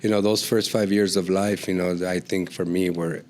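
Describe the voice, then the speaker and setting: A man's voice: sits low, steady tone, normal speed, darkish tone, slight background noise. A man, telling stories casually and conversationally as a podcast guest. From born in El Paso, raised in Juarez and Los Angeles. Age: 40s. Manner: conversational, peppered with "you know". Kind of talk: casual storytelling in an interview.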